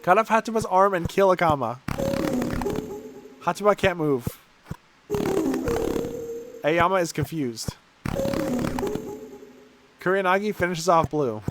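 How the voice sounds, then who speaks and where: High-pitched irreverent man streaming a game. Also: animated, unhurried, pauses often, very noisy. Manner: irreverent, sweary, amused by absurd text. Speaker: streamer playing a video game. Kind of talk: reading game text aloud with live reactions.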